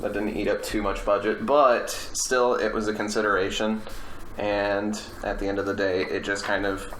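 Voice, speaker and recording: A man with a fairly low voice talks casually as a podcast co-host. He explains things with a wry, joking edge, in an echoey room with a little noise behind him. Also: level tone, normal speed, a bit bright. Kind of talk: casual group podcast chat. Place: indoor room with other people. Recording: roomy, faint background noise.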